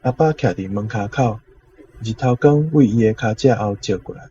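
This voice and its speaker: A man's voice: on the low side, normal speed, mellow, a little hiss or hum. A man with a Taiwanese accent, a language teacher reading out a translation in a dull, lo-fi recording.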